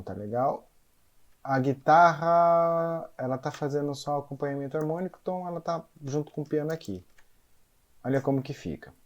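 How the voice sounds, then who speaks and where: A man who conducts and teaches music walks listeners through a big band score. He talks in a casual, explanatory way and keeps checking that they are following. His voice sits at an ordinary middle pitch. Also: darkish tone, muffled recording. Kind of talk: explaining a score in an educational concert video.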